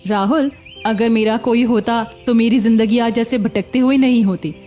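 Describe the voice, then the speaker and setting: A woman acting as a hungry young beggar woman in a cartoon story. Her voice is high and pleading, yet she has a sharp tongue and answers back readily. Music plays behind her. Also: normal speed, close to an everyday voice. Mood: sad, confused.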